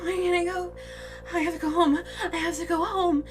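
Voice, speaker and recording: A woman playing a terrified, tormented village girl in a horror radio drama, halting and pleading. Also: extremely high pitch, flat delivery, music in the background.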